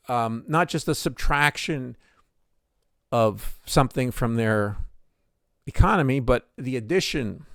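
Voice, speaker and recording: A man's voice: mid-range voice, clear, resonant tone, no room sound, studio quality. A middle-aged man hosting a solo commentary podcast, speaking hesitantly with frequent fillers and restarts. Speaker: podcast host.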